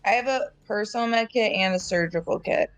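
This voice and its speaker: A woman's voice: medium pitch, fairly quick, no room sound. A woman playing in a tabletop game, asking casual rule questions and swearing now and then. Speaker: player.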